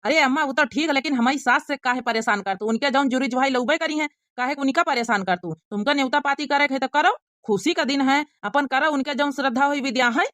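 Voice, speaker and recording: A woman's voice: high-pitched, fast talker, hardly any pauses, a bit bright, dry recording, clean studio sound. A woman voicing a protective, generous young married son in a cartoon comedy, earnest and reassuring, with an Awadhi accent. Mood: pleading, exasperated.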